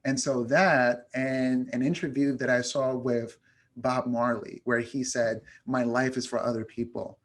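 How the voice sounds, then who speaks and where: A man from New York, raised speaking Spanish, talks as a guest on an interview show. His voice sits at an ordinary middle pitch. He speaks casually and reflectively, pausing often to gather his thoughts. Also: little pitch movement. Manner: reflective, many "um" pauses. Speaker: guest, education consultant.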